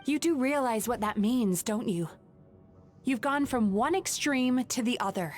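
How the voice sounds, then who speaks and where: An actress playing a gentle, earnest young dream therapist in a video game, with a thoughtful, sincere delivery. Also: female voice, fairly high pitch, animated, unhurried, soft background music. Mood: challenging. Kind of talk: scripted video game voice acting.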